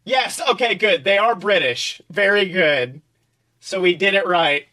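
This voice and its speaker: A man's voice: high voice, expressive, a little slow, bright, forceful tone. A man hosting a comedy fan fiction podcast, speaking casually with swearing and asides. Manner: casual, profane. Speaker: podcast host.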